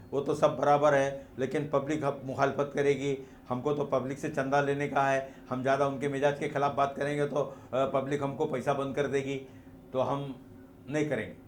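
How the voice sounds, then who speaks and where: A man's voice: on the high side, a little hiss or hum. A man with a Mumbai Hindi accent making an emphatic explanatory religious argument, posing rhetorical questions and repeating his points. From India. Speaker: activist and petitioner giving a speech. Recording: echoey, roomy sound.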